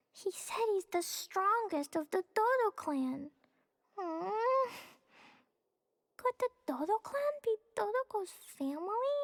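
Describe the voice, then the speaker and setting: An earnest little girl adventurer with a very high, cutesy voice. She talks slowly and haltingly, innocent yet stubborn. It is game dialogue with soft music underneath. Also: full character voice. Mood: puzzled. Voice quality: childlike, cutesy. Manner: innocent, halting, speaks of self in third person. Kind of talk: video game voice acting.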